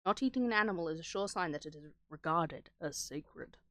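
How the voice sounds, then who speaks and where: A woman with a lowish voice talks casually as a streamer, rambling through stories with plenty of swearing. Her delivery is irreverent and conversational, recorded close and dry with little room sound. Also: expressive, a little fast, no echo. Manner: acts out dialogue when retelling stories. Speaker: streamer reacting to horror videos. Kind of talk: casual rambling chat, storytelling.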